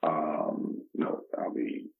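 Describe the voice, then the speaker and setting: A man, talking casually and motivationally as a podcast guest, emphatic and given to acting out dialogue. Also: drawn-out words. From the Bronx, New York, United States. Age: 50s. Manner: emphatic, acts out imagined dialogue. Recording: dull, muffled lo-fi recording.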